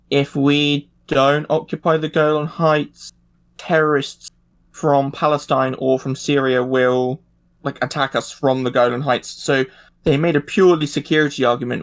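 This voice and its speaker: A man in a casual political discussion, talking in a halting, searching way. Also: mid-range voice. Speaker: panelist.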